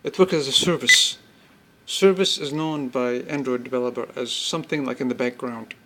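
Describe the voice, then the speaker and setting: A man presenting an informal product demonstration, explaining step by step in halting phrases and repeating himself. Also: mid-range voice, sharp tone, low-quality recording. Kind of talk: informal spoken product demo.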